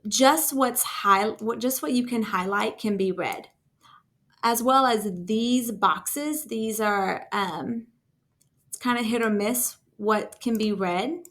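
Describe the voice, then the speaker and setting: A woman talking through a casual screen-share software tutorial as a trainer, in an informal, enthusiastic and encouraging way. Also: a little high, takes it slowly. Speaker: school district technology trainer.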